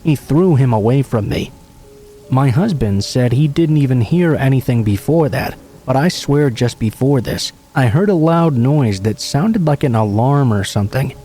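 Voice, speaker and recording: A man calmly narrating true horror stories in an even, scripted read. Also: mid-range voice, moderate pace, soft background music.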